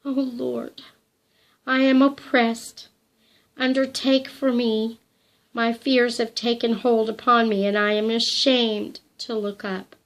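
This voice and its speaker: A woman's voice: high-pitched, a little slow, a little mellow, husky, voice pushed up, heavily acted. A woman voicing a frightened, timid young woman who pleads in a trembling, breathless voice, sometimes dropping to a whisper. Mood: praying.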